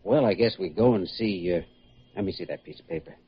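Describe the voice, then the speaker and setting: An American man acting an earnest, considerate doctor in a classic radio drama, questioning gently and a little hesitantly. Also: low-pitched, fairly quick, natural voice. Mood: polite, probing. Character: earnest, considerate young male hospital doctor. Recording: muffled, noisy old radio recording.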